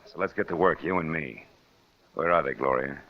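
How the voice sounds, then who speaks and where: Casting: cynical wisecracking private eye, deep voice, old radio noir, muffled sound. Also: male voice, normal speed. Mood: wry, cool. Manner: wry, clipped tough-guy slang. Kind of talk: old-time radio detective acting with first-person narration. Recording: muffled old radio recording with prominent music.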